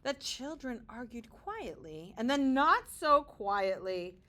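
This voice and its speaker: A woman reading a picture book aloud to young children like a teacher, expressive, with dramatic pauses and wondering asides. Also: on the high side.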